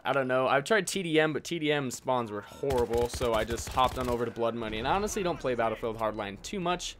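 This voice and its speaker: A man's voice: mid-range voice, lively intonation, quick pace, background noise. A twenty-year-old American man answering viewer questions in a casual, laid-back way, informal and often trailing off. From United States. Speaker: gaming and airsoft YouTuber.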